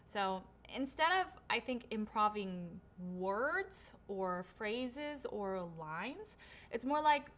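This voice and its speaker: A woman's voice: medium pitch, lively intonation, slow pace, a bit bright. A woman voice actor hosting her own livestream Q&A. She reads viewer questions aloud and answers in a chatty, upbeat way, with lots of "right?" and "um". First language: English. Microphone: shotgun studio microphone.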